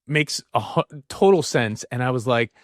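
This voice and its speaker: A man's voice: mid-range voice, expressive, moderate pace, no echo, studio quality. A man hosting a podcast interview, talking in an enthusiastic, rambling way with frequent restarts. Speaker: podcast host. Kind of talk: casual interview chat.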